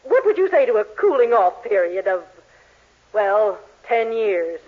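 A woman's voice: mid-range voice, sing-song, measured pace. An actress playing a bossy, disapproving middle-aged mother in a 1940s radio sitcom, commanding and cutting. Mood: condescending. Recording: old 1940s radio, tinny narrow band.

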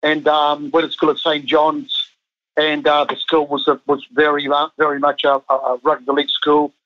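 A man's voice: fairly high pitch, completely flat delivery, normal speed, no room sound. A man in his fifties, born in Chile, who coaches a national team, talking casually in an interview with many ums and restarts. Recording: muffled lo-fi dry sound.

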